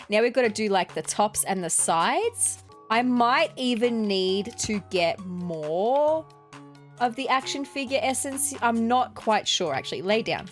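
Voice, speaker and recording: A woman with an Australian accent, sounding nasal from allergies, chatting casually as she plays and builds in a video game. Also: on the high side. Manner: chatty, upbeat, thinking aloud while building. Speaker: let's play gaming host. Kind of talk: casual gameplay commentary.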